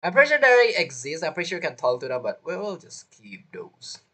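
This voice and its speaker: Rambling game streamer, lively pitch. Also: brisk. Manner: rambling, thinking aloud. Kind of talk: casual live gameplay commentary.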